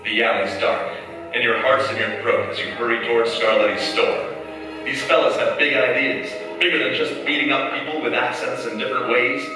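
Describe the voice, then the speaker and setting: A man's voice: mid-range voice, fairly even intonation, normal speed, close to an everyday voice. A man playing a grave, urgent narrator in a staged 1940s radio drama, dramatic and intense. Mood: suspenseful. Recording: echoey hall, far mic, music behind. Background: music.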